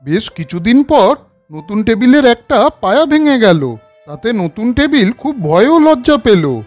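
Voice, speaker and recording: A woman's voice: on the high side, sing-song, a little slow, darkish tone, faint music behind. A woman storyteller reading scripted narration and addressing young viewers directly.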